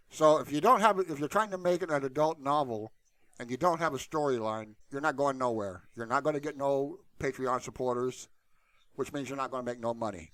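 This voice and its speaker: A man talks through a screen share in a casual, unscripted style. His delivery is blunt and colloquial, and he rambles as he goes. His mid-pitched voice is recorded close and dry. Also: a bit bright, no room sound. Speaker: tutorial channel host.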